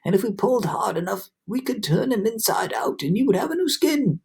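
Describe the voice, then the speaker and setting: A dour storybook earthworm, as a woman reads him out grumbles in a husky, mellow voice. Every line sounds gloomy and pessimistic. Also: mid-range voice, expressive, brisk, pitched above the performer's own voice. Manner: glum, grumbling.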